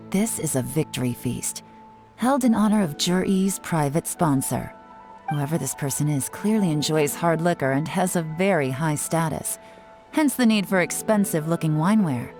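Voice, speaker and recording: A woman playing a cool, shrewd intelligence officer in a video game, composed and commanding with dry confidence. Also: sits low. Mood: analytical. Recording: music behind the voice.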